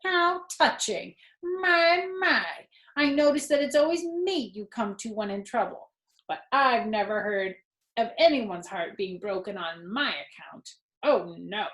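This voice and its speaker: A put-upon, sarcastic storybook rat played by a woman, griping and mocking in a theatrical way. The voice sits high above an everyday register, and the room adds a clear echo around it. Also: animated, measured pace, a bit bright. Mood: mocking, self-pitying. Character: greedy, sarcastic, complaining male rat, self-pitying and put-upon. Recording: roomy indoor echo.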